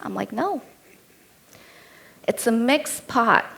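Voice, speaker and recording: A woman's voice: on the high side, lively intonation, slow pace, vocal fry, a bit bright, breathy edge. A woman in her thirties with an Indian accent, giving a keynote talk as a personal story told in a candid, lightly humorous way. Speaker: keynote speaker.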